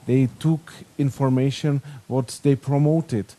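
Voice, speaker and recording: A man from the Czech Republic with a Czech accent, speaking halting non-native English with many fillers at a conference panel. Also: medium pitch, fairly even intonation, mellow.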